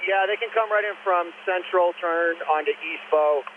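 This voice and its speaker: A man's voice: high-pitched, normal speed. A man acting as fire incident commander, giving instructions over a two-way radio. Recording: tinny, noisy field radio transmission.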